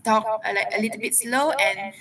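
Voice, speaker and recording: Twenties woman from Malaysia, Thai first language, halting interviewee, gravelly voice, mic at a distance. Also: mid-range voice, fairly bright tone. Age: 20s. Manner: halting, searches for words in a second language. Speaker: interviewee, university student. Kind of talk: casual interview answers. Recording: distant mic with crowd chatter behind.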